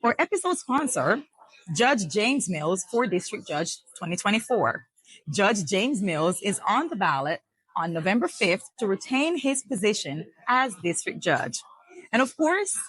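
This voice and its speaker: An upbeat woman hosts an unscripted talk show, her voice slightly husky. She talks with lively enthusiasm, recorded in a coffee shop. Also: mid-range voice, unhurried, no echo, rough recording. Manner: upbeat, enthusiastic, warm promoter. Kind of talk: casual interview chat.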